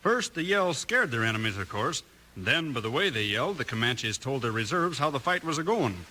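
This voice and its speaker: Lively, folksy cowboy storyteller. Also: male voice, mid-range voice, a little fast, rough recording. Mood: jovial. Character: folksy male cowboy yarn-spinner, jovial. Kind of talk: 1950s radio commercial skit.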